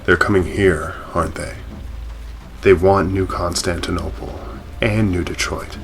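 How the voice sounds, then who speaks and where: A man's voice: deep voice, fairly even intonation, a little slow, faint music behind. A man acting in a sci-fi audio drama as an anxious, protective husband and pilot, hesitant and earnest. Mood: worried.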